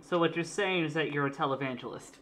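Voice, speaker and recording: A woman's voice: sits low, fast talker, a bit bright, slight background noise. A woman chatting casually and laughing easily, with a laid-back, self-deprecating way of talking. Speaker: streamer drawing a guest. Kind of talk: casual chat.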